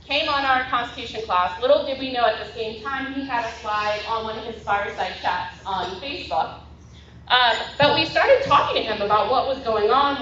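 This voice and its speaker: A woman's voice: a little high, noisy background. A woman giving a chatty public talk to a meeting, full of fillers. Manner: chatty, many fillers, loud voice. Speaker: guest speaker. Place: meeting hall. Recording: echoey dull hall recording.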